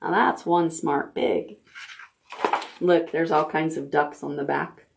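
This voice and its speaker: Woman, children's librarian, reading aloud, unhurried, asks the kids questions. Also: low voice, gravelly, background noise. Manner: gentle, deliberate storytime delivery. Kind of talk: reading picture books aloud to preschoolers.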